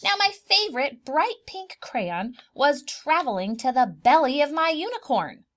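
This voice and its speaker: A woman reading a picture book aloud for preschoolers, expressive and dramatic, pausing to put questions to the children. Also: high voice. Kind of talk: animated children's storybook read-aloud with asides.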